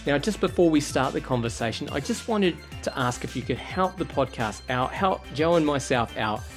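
A man's voice: mid-range voice, expressive, moderate pace, soft background music. A man hosting a podcast, introducing his guest and joking about his own mishap in a chatty, self-deprecating way. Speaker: podcast host. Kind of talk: scripted podcast intro, then casual interview.

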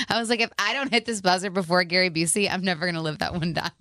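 A woman's voice: on the low side, quick pace, bright, forceful tone, no room sound, studio quality. A woman in her thirties from the American Midwest, a country singer guesting on a radio show, talking in a bubbly way and laughing often. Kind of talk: casual interview chat. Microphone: studio microphone.

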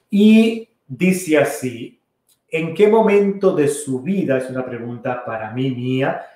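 A middle-aged man, a priest hosting a casual podcast, answering listener questions in a relaxed, rambling way with many fillers and restarts. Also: mid-range voice. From Costa Rica. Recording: very echoey room.

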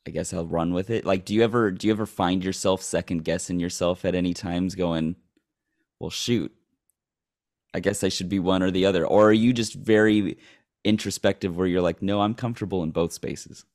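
Lowish-voiced guy hosting, keeps asking and rephrasing, curious. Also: steady tone, a little fast, darkish tone. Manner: curious and probing, rephrases his questions. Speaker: host. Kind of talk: casual interview chat.

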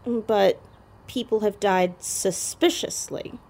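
An actress playing a persistent, inquisitive teenage girl sleuth in an audio drama, earnest and halting as she probes. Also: mid-range voice, vocal fry, darkish tone. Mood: probing.

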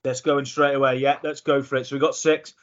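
A man's voice: mid-range voice, little pitch movement, fast talker. A man hosting a casual football podcast, upbeat and competitive, thinking aloud while he guesses. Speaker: podcast host. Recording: dull lo-fi podcast audio.

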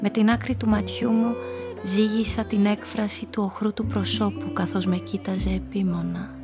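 An actress playing a woman who narrates her own story in measured, atmospheric literary prose for a radio drama. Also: medium pitch, flat delivery, music in the background, lightly acted. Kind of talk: scripted first-person literary narration.

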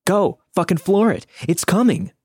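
A man's voice: high voice, expressive, normal speed, darkish tone, slightly rough, dry recording, studio quality, voice pushed up, full character voice. A man voicing panicked teenagers in a horror story, with urgent, alarmed exclamations. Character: panicked teenagers fleeing a cemetery at night.

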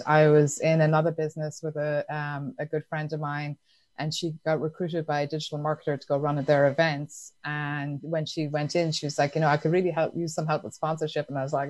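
A woman with an Irish accent, chatting as a guest in an interview, laughing easily and filling pauses with "um" and "like". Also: low voice, no room sound. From Ireland. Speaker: guest entrepreneur. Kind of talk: casual interview answers.

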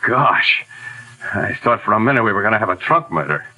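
A man's voice: lowish voice, animated, brisk, low-quality recording. An actor playing a chatty former vaudeville man who now runs a revue, talking in folksy slang in a 1940s radio drama. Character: eager ex-vaudeville revue leader, adult man.

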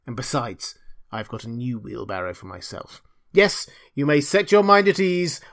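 A man's voice: medium pitch, sing-song, moderate pace, a bit bright. A man voicing a greedy, self-righteous miller from a storybook, pompous and sanctimonious, drawing out his phrases for emphasis. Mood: self-satisfied. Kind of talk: storybook character reading.

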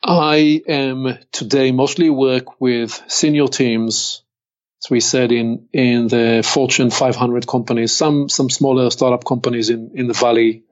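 Older Hebrew-accented male consultant, unhurried and reflective, on a slightly muffled remote line. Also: mid-range voice, steady tone. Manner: measured, deliberate, slows to stress points. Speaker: podcast guest, executive consultant. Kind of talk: reflective interview talk.

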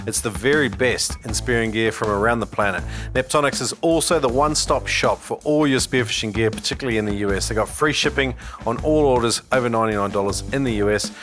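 A podcast host from New talks, loose and easygoing, stopping and restarting as he thinks. His voice sits at an ordinary middle pitch for a man, with faint music under it. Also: fast talker. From New Zealand. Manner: relaxed, hesitant, many fillers and restarts. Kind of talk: casual interview chat and ad reads.

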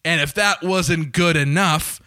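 A young man with a slightly raspy voice, hosting his podcast in a chatty, joking way and swearing casually. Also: on the high side, a little slow, pushed and bright, no echo, clean studio sound. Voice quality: a little raspy. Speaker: podcast host. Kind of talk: casual solo podcast intro.

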